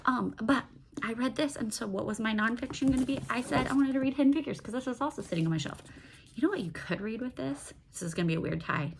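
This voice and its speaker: A woman with a creaky, fry-edged voice chats informally as a book vlogger. She rambles, breaks off mid-thought and asks herself questions. There is some noise in the recording behind her. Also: fairly high pitch, fast. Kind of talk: casual book-talk vlog, unscripted chat.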